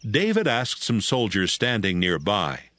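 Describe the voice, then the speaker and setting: A man's voice: fairly low pitch, huge pitch swings, a little slow, fairly bright tone, no echo. A man narrating a dramatized audiobook as a scripted storyteller. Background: music.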